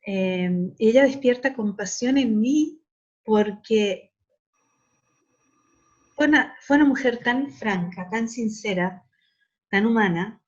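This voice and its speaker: A woman's voice: a little high, slow pace, pauses often, muffled recording. A middle-aged woman academic speaking reflectively in an interview, earnest and often repeating words as she searches for the right one.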